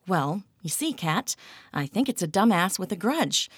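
Woman doing a nervous pregnant producer lady, soothing and hesitant, lively pitch, dry recording. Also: a little slow, husky, no room sound, heavily acted. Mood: placating.

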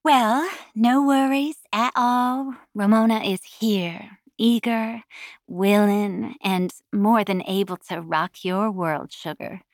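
A woman's voice: medium pitch, steady tone, dry recording, studio quality. A woman voicing a seductive phone-line temptress with a throaty Southern US drawl in an audiobook. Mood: seductive, purring. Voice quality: throaty, purring. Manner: slow, flirtatious drawl.

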